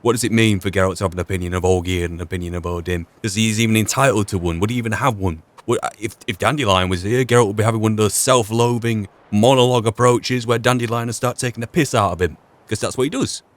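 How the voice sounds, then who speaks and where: Low-voiced man musing over a game. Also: quick pace, few pauses. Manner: reflective, deliberative, rhetorical questions. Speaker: game streamer narrating a role-play playthrough. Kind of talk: musing gameplay commentary.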